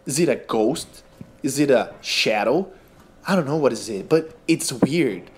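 A man's voice: mid-range voice, animated, a little slow, husky. A man from Brazil with a Brazilian Portuguese accent, speaking English as a second language in casual gameplay commentary, incredulous and laughing at game glitches. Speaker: gaming YouTuber.

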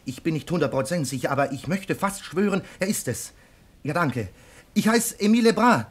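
A man's voice: fairly high pitch, animated, brisk, slightly rough. An actor playing an earnest young rookie policeman giving a dutiful, precise report in a radio crime drama.

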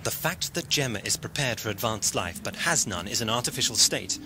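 Actor as an erudite, animated time-traveller. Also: male voice, on the low side, a little fast, background noise, close to an everyday voice. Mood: absorbed, explaining. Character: the Doctor, an erudite, excitable time-travelling adventurer. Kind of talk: audio drama acting. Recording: loud music and effects behind.

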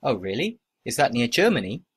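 A man acting out short scripted everyday dialogues for a listening test, with plain, expressive conversational reading. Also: medium pitch, fairly quick, a little hiss or hum.

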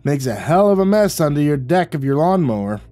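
A man voicing a gruff, bitter old male war veteran neighbor who talks bluntly and crudely, in a storybook reading. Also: a little high, big pitch swings, fairly quick, mellow, no echo, pitched above the performer's own voice. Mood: gruff, bossy.

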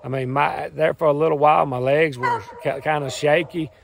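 A hoarse man in his thirties with a Southern US accent, rambling cheerfully in a folksy way to a phone camera while standing outdoors in the woods. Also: on the high side, fairly even intonation, normal speed. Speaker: farm and hunting channel host. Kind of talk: casual vlog talk to camera. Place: outdoors in woods beside a truck. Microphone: phone camera at arm's length.

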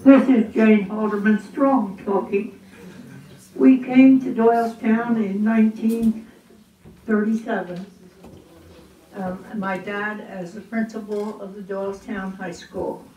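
A woman's voice: mid-range voice, fairly even intonation, slow, mellow. An older woman reminiscing fondly about her father to an audience. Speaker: guest speaker. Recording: echoey room, distant mic, faint noise.

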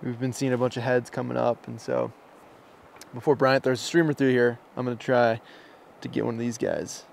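A man's voice: mid-range voice, level tone, normal speed, creaky, a little hiss or hum. A young adult man talking in an informal, slangy way, as in a casual vlog. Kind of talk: casual vlog talk and narration.

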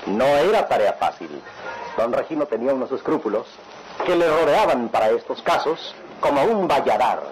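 A man's voice: mid-range voice, big pitch swings, normal speed, background noise, lightly acted. A man narrating a radionovela in a solemn, theatrical, melodramatic style. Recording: radio drama under loud music.

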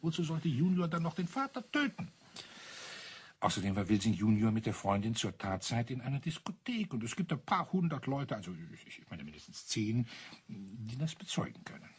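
A man playing a self-assured, wry colonel in a crime radio play, husky and breathy, teasing and savouring his account. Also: mid-range voice, very animated, normal speed. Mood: amused relish. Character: wry, self-assured male colonel relishing a murder mystery.

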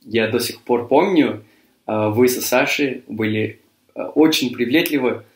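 A young man from Cyprus, a native English speaker, answers interview questions as a university student, pausing often with fillers and restarts. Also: mid-range voice. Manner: hesitant, many fillers and restarts. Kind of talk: interview answers in a learned language. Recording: echoey room.

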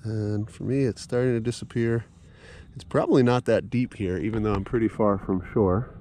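A man's voice: on the low side, fairly even intonation, normal speed, mellow. A man in his thirties in a kayak on a lake, casually explaining a water test with fillers and thinking aloud. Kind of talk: casual outdoor demonstration. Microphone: action camera at arm's length. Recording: noisy outdoor camera audio.

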